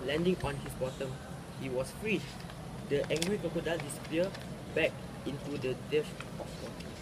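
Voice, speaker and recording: Slightly high-voiced man reading a tale. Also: moderate pace. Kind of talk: reading a folk tale aloud. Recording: clearly noisy recording with ambient sound.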